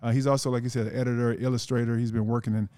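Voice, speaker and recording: A man's voice: mid-range voice, level tone, very fast, mellow, no room sound. A man guest on a casual podcast, talking earnestly in long rambling sentences with many restarts.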